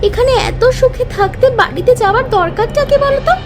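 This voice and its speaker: A woman's voice: extremely high pitch, expressive, quick pace, over music. A woman voicing a greedy, stubborn young wife in a cartoon, with a scolding, dismissive manner. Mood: scornful. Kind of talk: cartoon voice acting.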